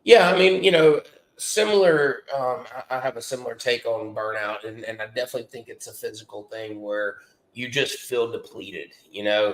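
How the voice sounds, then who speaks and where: A man's voice: mid-range voice, normal speed. Nasal congested man, echoey room. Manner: rambling with many fillers and restarts. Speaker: podcast co-host. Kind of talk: casual chat.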